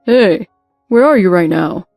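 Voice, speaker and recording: A woman voicing an aggressive middle-aged husband who scolds and rants loudly. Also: a little high, normal speed, no room sound, clean studio sound, faint music behind, lightly acted. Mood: angry. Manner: loud, scolding, ranting.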